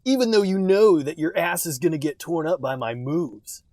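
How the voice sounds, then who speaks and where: A man's voice: on the high side, normal speed, darkish tone, dry recording, clean studio sound, lightly acted. A man voicing an aggrieved, combative teenage male cartoon fighter in a theatrical, declaiming way. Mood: defiant.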